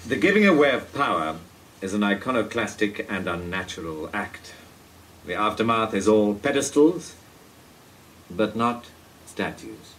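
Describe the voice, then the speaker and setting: An actor playing a brooding, dignified ageing playwright in a radio play, speaking in a grave, formal, oracular way. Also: male voice, fairly low pitch, sing-song, a little slow, a bit bright, slight background noise, poor audio. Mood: grave, resistant. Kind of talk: broadcast radio play acting.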